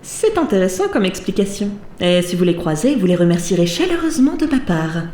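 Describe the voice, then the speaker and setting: Woman acting a mellow, cool smuggler boss, echoey room. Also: medium pitch, very animated, a little fast, lightly acted. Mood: coolly menacing. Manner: composed, mock-courteous. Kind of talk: French audio drama acting. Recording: roomy echo, faint noise.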